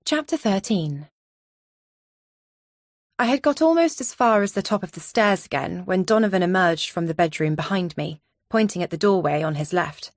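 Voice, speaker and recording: Female thriller narrator: slightly low pitch, tense dramatic first-person reading, dry studio recording. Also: expressive, normal speed, no echo. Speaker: audiobook narrator.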